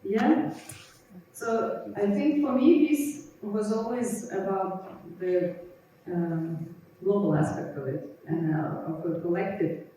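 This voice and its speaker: A woman's voice: mid-range voice, slow pace, dark tone, a little hiss or hum. A woman whose first language is Russian, speaking on a conference panel and reflecting aloud as she searches for her words. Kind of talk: panel discussion. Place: conference hall. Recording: echoey hall, distant mic.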